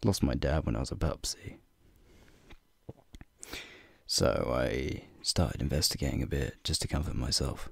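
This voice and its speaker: Guy doing a flirty werewolf guide for asmr, super deep crackly voice, breathy n playful, up close and dry with faint music behind. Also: measured pace, pauses often, no room sound, full character voice. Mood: reflective, gentle. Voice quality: deep, gravelly, breathy low voice. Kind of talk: ASMR roleplay, speaking to the listener. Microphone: close microphone.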